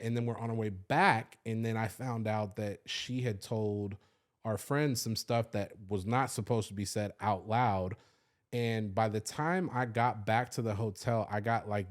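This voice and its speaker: A man, telling a candid personal story on a podcast, loose and confessional, swearing freely with lots of "like". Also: fairly low pitch. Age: 30s. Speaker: podcast host.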